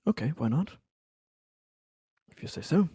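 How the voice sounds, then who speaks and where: A man's voice: on the high side, very animated, a little slow, dark tone, breathy edge, dry recording, studio quality. A man playing a tabletop game, putting in short, casual remarks.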